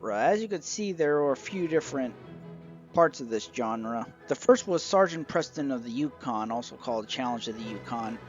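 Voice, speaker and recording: Young adult man, mid-range voice, measured scripted show-and-tell narrator, light music underneath. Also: mid-range voice, animated, highs cut off. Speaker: collector reviewing a radio show collection.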